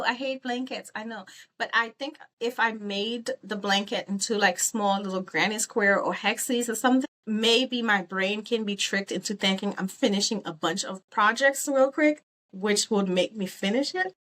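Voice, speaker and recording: A woman's voice: a little high, fairly bright tone. Chatty woman vlogger who grew up in Haiti. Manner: chatty, rambling, self-teasing, with frequent ums. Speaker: crochet vlogger. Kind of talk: casual vlog chat.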